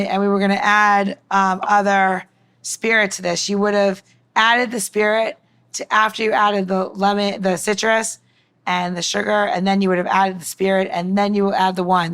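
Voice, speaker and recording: A woman's voice: medium pitch, little pitch movement, moderate pace, a bit bright, smooth. A woman mixologist giving a casual, informal demonstration, chatting and explaining as she goes. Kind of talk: casual cooking-style demonstration.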